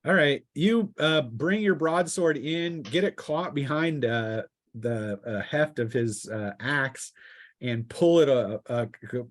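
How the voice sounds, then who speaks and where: A man working as game master, narrating and refereeing a tabletop role-playing game in a halting way full of fillers. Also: mid-range voice.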